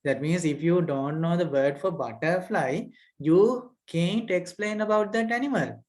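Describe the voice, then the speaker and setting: A man's voice: high voice, a little slow, darkish tone. A man with a Sri Lankan accent teaching an English lesson. He poses rhetorical questions, tags lines with "right?", and repeats his key points. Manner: asks rhetorical questions and adds "right?" checks. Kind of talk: spoken lesson, lecturing students.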